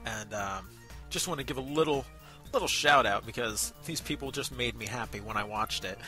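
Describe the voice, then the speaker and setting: A man co-hosting a casual review chat, speaking in an effusive, gushing way and quick to laugh. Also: medium pitch, sharp tone, soft background music. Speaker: co-host of an amateur voice acting review show.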